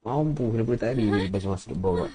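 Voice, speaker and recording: A man's voice: on the low side, expressive, racing, mellow, soft background music. A man with a Malaysian accent giving casual live gaming commentary, muttering short remarks to himself. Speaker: game streamer.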